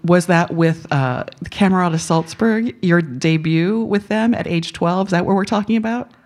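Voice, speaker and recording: A woman's voice: lowish voice, normal speed, darkish tone. A woman hosting a live classical radio interview, friendly and curious, laughing often. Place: radio performance hall studio.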